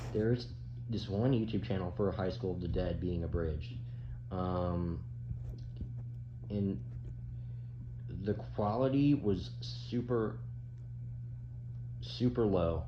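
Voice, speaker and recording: Young male commentator, low-pitched, rambling casual opinions, echoey room with noticeable noise. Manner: rambling, halting, swears casually. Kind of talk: casual off-the-cuff opinion chat. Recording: roomy, dull, with clear background noise.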